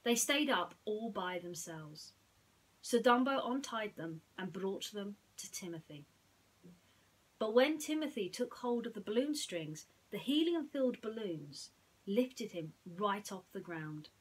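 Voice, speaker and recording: A woman storyteller with a breathy, slightly rough voice at a medium pitch. She speaks in the manner of someone reading a bedtime story and reflecting on it. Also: very animated, a little slow, poor audio.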